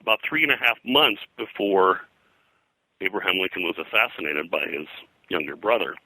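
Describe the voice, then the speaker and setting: A man's voice: low-pitched, normal speed, pushed and bright, no room sound, rough recording. An older man being interviewed as a historian, telling anecdotes in a rambling, hesitant way full of digressions. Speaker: pop culture historian, interview guest.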